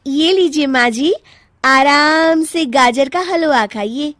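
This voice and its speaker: A woman's voice: high-pitched, slow, a bit bright, no echo, voice pushed up. A woman voicing a spoiled young daughter-in-law in a cartoon moral story, whiny and melodramatic. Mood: sweetly servile.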